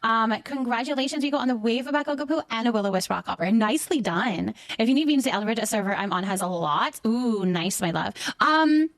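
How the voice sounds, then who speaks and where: Woman, higher-pitched, dry recording, casual streamer chat, affectionate, effusive, repeats little phrases. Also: expressive, fairly quick, hardly any pauses, no room sound. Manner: repeats words and short phrases. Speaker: live streamer hosting a chat. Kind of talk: casual livestream chat, thanking viewers.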